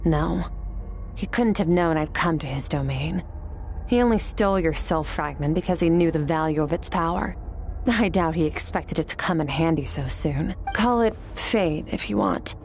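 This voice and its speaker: An actress playing a cool, aloof young woman warrior who speaks in a calm, cold and cutting way. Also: low-pitched, moderate pace. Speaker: game character. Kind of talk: game voice acting. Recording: game audio with faint music.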